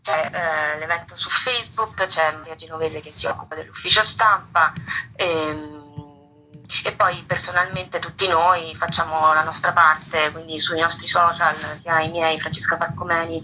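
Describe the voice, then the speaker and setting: A woman's voice: low voice, fairly even intonation, normal speed, bright, forceful tone. A woman, an actress, speaking informally in a radio interview over the phone, often checking in with a short question tag. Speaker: stage actress being interviewed. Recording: noisy phone line.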